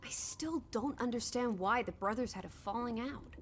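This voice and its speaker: An actress playing an outspoken, empathetic young female companion in a video game, probing and expressive in manner. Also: on the high side, normal speed, a little hiss or hum, close to an everyday voice. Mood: curious, pensive.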